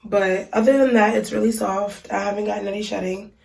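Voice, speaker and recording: A woman's voice: mid-range voice, fairly even intonation, brisk, creaky, darkish tone. Woman vlogger with a cold, stuffy and chatty, in a small bathroom, a bit off-mic with room echo. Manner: chatty, many ums and likes. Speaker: beauty vlogger reviewing hair. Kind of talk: casual unboxing review. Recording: echoey room, mic at a distance.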